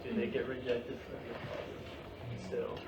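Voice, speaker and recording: A man talks tentatively and casually in a group discussion. His voice comes from away from the mic, with loud noise around it. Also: medium pitch, completely flat delivery, moderate pace, vocal fry, highs cut off. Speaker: audience member giving feedback. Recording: very noisy, echoey room from far off.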